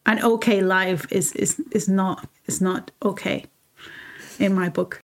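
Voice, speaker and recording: A woman's voice: medium pitch, steady tone, a little slow. A woman, speaking as a guest in a reflective conversation, earnest and heartfelt, often restarting her sentences. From El Salvador. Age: 30s. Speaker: guest, fashion designer.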